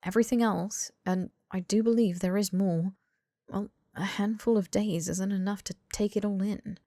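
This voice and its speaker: A woman's voice: mid-range voice, fairly even intonation, normal speed, dark tone, dry recording, studio quality, close to an everyday voice. A woman voicing an earnest, blunt young British man in audiobook dialogue, emphatic and pleading. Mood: earnest, tentative. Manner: emphatic, sweary, rambling tirades.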